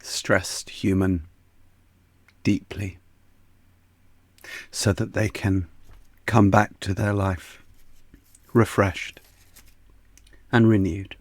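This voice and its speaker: A man guiding a yoga nidra meditation in a soft, soothing, unhurried manner that sometimes sinks almost to a whisper. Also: deep voice, flat delivery, no room sound. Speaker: meditation guide.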